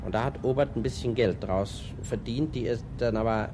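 A man speaking off the cuff as an expert, explaining history in a hesitant, spontaneous way. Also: moderate pace. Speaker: interviewed expert on rocket history. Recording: clear background noise, vehicle-like rumble.

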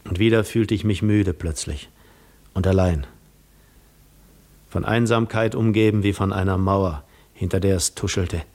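Weary, lonely Greek wanderer, lowish voice, measured literary storytelling for a 1950s radio play. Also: male voice, a little mellow. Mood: brooding. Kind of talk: 1950s German radio drama narration.

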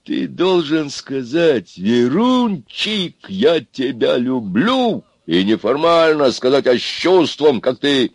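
A man's voice: mid-range voice, lively intonation, a little slow, hardly any pauses, no echo, studio quality, pitched above the performer's own voice. A man voicing a domineering, blustering middle-sized bully of an interrogator in a story, barking and lecturing insistently. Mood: commanding, insistent.

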